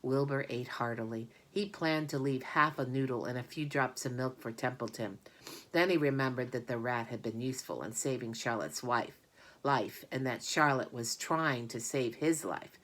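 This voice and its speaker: A woman narrating a children's book in an expressive read-aloud manner. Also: extremely low pitch, moderate pace. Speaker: storybook narrator.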